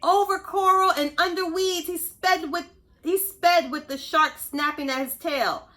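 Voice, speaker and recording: A woman reading a story aloud to young children, expressive, pausing to explain things and ask simple questions. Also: very high-pitched. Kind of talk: animated read-aloud narration.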